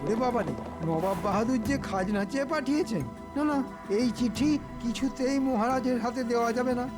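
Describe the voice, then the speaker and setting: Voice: mid-range voice, a little fast, close to an everyday voice. A voice actor playing a scheming, jealous male royal minister in a comedy cartoon, conniving and theatrical. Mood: alarmed. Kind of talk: Bengali comedy cartoon dubbing. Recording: music behind the voice.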